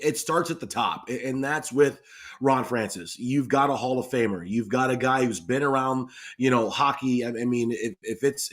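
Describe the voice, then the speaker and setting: A man with a mid-pitched voice, guesting on a sports podcast. He speaks casually but emphatically, repeating short phrases to drive them home. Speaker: podcast guest, sports radio play-by-play announcer. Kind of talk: casual interview chat.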